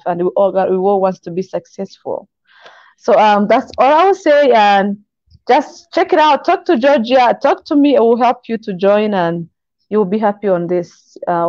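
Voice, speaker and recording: Young woman, English, warm low tone, dry dull call audio. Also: no room sound. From Congo. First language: not English. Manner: earnest, encouraging, halting phrasing. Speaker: guest. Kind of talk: video call interview. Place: kitchen indoors. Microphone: video call.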